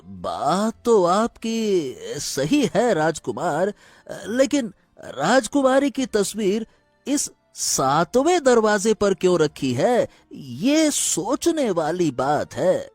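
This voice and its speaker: Royal minister for cartoon fable, male actor: slow, respectful, exaggerated, very wide melodic swings. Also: high voice, very animated, no room sound, soft background music, full character voice. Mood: puzzled. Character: deferential royal minister advising his young prince. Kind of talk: animated Hindi moral-story cartoon dialogue.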